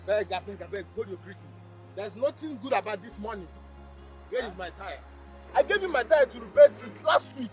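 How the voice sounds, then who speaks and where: An angry, threatening customer with a Nigerian accent. His voice is very high for a man. Music plays faintly behind. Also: big pitch swings, fairly quick, low-quality recording. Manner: confrontational, threatening.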